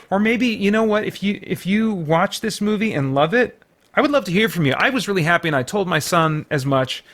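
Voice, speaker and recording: Casting: male reviewer, middle-aged, voice a bit on the high side; informal, opinionated commentary that wanders as he thinks out loud. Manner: rambling, informal, thinking aloud. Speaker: reviewer and show host.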